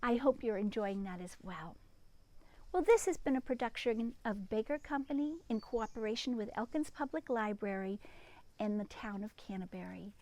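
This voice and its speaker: Animated high-voiced woman reading a children's story. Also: no echo. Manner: animated, dramatic pauses, exclaims and comments to young listeners. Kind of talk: expressive read-aloud for young children. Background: music and sound effects.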